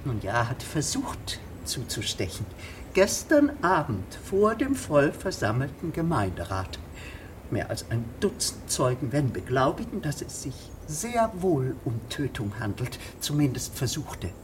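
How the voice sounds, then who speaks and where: Casting: pompous magistrate keen on punishment, mid-low-register indignation, highly varied pitch, faint background noise. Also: female voice, big pitch swings, unhurried, heavily acted. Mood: haughty. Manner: pompous, haughty, officious. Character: imperious, indignant female magistrate eager for an execution. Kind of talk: radio drama acting.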